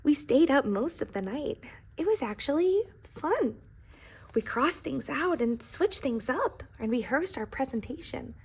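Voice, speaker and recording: Woman voicing an earnest, high-voiced little storybook pie. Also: sing-song, a little slow, heavily acted. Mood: reflective, upbeat. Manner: earnest, dramatic emphasis. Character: humble young pie, anxious, earnest children's storybook narrator. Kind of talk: expressive storybook reading.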